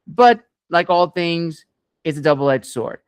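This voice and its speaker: Guy podcast host, smooth clean voice, really dry close sound, earnest long-winded questions. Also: on the high side, lively intonation, slow, no room sound. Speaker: podcast host, interviewer and author. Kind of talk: interview hosting with long discursive questions.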